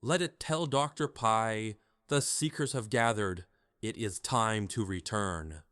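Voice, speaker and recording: A man's voice: fairly low pitch, slow pace, a bit bright, dry recording, studio quality. A man playing a solemn, resolute mystic leader in an audio drama, speaking with portentous gravity. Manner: grave, portentous.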